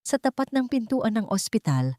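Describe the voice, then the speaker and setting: A woman's voice: a little high, big pitch swings, normal speed, mellow, no echo, studio quality. A woman reading a scripted dramatic story in an earnest, sentimental way. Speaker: audio drama narrator.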